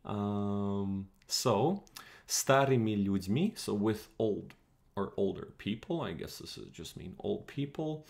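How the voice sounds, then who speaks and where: Slow, gravelly-voiced man, Croatian native. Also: on the low side, expressive, darkish tone. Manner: thinking aloud, halting, many fillers. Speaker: streamer reading an old primer. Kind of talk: casual live-stream reading and translating.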